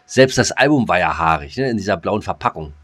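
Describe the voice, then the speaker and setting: A man in his thirties with a very low voice, co-hosting a relaxed comedy podcast. He jokes, stumbles and stammers through his sentences. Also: brisk. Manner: joking, stammering, hesitant. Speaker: podcast co-host. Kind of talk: casual comedic chat.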